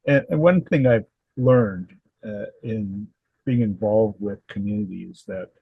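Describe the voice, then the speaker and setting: A man in his seventies from Southern California, answering interview questions in a thoughtful, halting way with many fillers and restarts. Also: lowish voice, vocal fry, husky, no echo, phone-quality sound. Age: 70s. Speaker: guest, historical society president.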